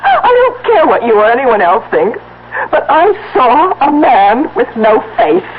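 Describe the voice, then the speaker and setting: An actress in a radio drama playing a frightened, insistent wife, speaking in a halting, emphatic, earnest way. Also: female voice, sits high, very animated. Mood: indignant, distraught. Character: frightened, insistent wife who saw a faceless man. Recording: tinny, band-limited, noisy old radio broadcast.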